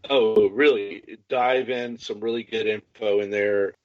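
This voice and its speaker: A man with a fairly low voice hosts a casual hobby podcast. He talks informally, as in relaxed conversation. The sound is dry and somewhat dull, with little room around him. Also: measured pace, no room sound. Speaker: podcast host. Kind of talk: casual hobby podcast chat about a miniatures game.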